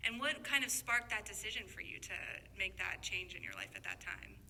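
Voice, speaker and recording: A woman's voice: mid-range voice, animated, fairly quick, creaky, bright, forceful tone, a little hiss or hum. A woman interviewer asking supportive questions and affirming what she hears. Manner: affirming, echoes and sums up answers.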